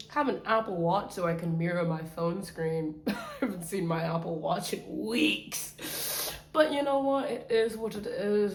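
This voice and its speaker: Slow, self-mocking woman in an echoey room. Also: medium pitch, a little mellow. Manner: playful, self-mocking, drifts into sing-song bits. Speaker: vlogger. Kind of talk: rambling casual vlog. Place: bedroom. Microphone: camera mic.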